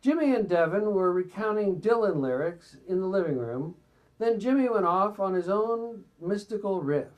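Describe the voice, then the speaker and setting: A man reading excerpts from a memoir aloud at a live reading, sometimes stumbling and stepping out of the text with asides. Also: high-pitched. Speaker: reader at a bookstore event.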